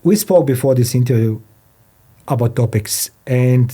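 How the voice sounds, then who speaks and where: A man who is a non-native English speaker hosts a podcast interview, speaking haltingly and often repeating his phrases. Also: medium pitch, warm tone, slightly rough. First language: not English. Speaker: podcast host. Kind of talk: podcast interview, introducing and questioning a guest. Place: studio.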